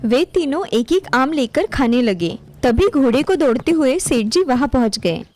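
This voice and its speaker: A woman's voice: sits high, lively intonation, moderate pace, a little mellow. A woman narrating a scripted moral story as a storyteller. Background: music behind the voice.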